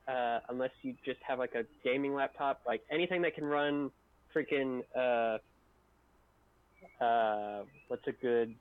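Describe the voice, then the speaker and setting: A man's voice: medium pitch, dry recording. A man chatting informally on a livestream, explaining things and giving his opinions. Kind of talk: casual livestream chat. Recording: thin, phone-like voice call sound.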